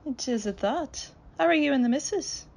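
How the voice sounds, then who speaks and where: A woman's voice: high-pitched, animated, moderate pace, vocal fry, a little mellow. Woman playing a chatty, inquisitive wife. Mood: playfully suspicious. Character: friendly, playfully suspicious dockworker's wife. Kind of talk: radio play acting.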